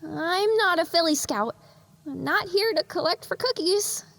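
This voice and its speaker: A woman's voice: very high voice, lively intonation, unhurried, vocal fry, fairly bright tone, dry recording, voice pushed up, exaggerated voice. A woman voicing a cute, naive young pony in an audio drama, with an earnest, flustered manner. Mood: nervous. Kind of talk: audio drama character acting.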